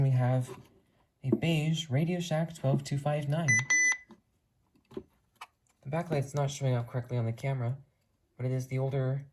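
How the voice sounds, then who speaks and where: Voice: mid-range voice, mellow. Enthusiastic hobbyist, noisy recording. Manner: earnest, rambling enthusiasm. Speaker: hobbyist video creator showing a radio collection. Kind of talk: casual enthusiast collection tour.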